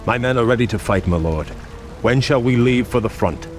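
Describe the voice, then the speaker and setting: An actor playing a proud, noble elven prince, formal and restrained with simmering resentment, in a fantasy video game. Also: male voice, medium pitch, a little fast, lightly acted. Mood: deferential, earnest. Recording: music behind. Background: game music.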